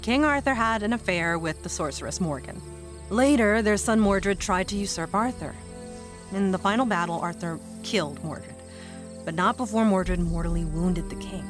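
A woman's voice: medium pitch, normal speed, faint music behind, close to an everyday voice. A woman playing a skeptical young journalist who explains and argues with exasperation, in a fan audio drama. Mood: explaining.

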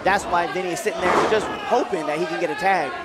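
A man's voice: sits high, fast. A man giving informal, conversational color commentary at a live wrestling match. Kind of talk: casual live sports commentary. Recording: loud crowd and venue noise behind. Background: wrestling crowd, ring slams.

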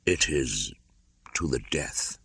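A man voicing an old dying wolf in a storybook, quiet, slow, solemn and battle-weary. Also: very deep voice, animated, gravelly, slightly rough, no echo, heavily acted. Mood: grim warning.

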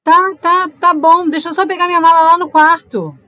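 A woman's voice: very high-pitched, steady tone, moderate pace, fairly bright tone, lo-fi sound. An actress playing an anxious young girlfriend in an audio drama. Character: young woman, anxious girlfriend secretly scheming.

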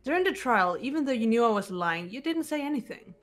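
A woman voicing a serious, guarded teenage girl detective in a visual novel game, cool and measured. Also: on the high side, animated, close to an everyday voice. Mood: solemn, confessional.

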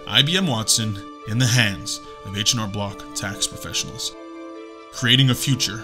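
Low-voiced man reading scripted narration. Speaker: voice actor. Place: home recording setup.

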